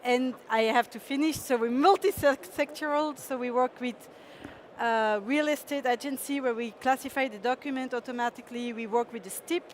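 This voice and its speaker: A woman's voice: high-pitched, moderate pace, slight background noise. Hesitant woman presenting her company. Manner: hesitant, frequent fillers, laughs easily. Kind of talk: startup pitch presentation.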